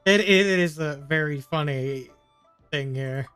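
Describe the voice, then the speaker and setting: Man commentating on gameplay, short amused asides, very slow delivery, clear smooth voice, faint game music behind. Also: fairly high pitch, fairly bright tone. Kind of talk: casual reactions over gameplay.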